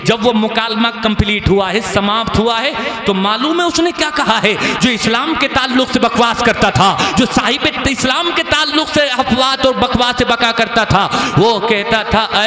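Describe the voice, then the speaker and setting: A man preaching a fervent sermon to a crowd, his delivery swelling into impassioned shouts. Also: extremely high pitch. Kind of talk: Islamic sermon. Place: large gathering. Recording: echoey hall with crowd.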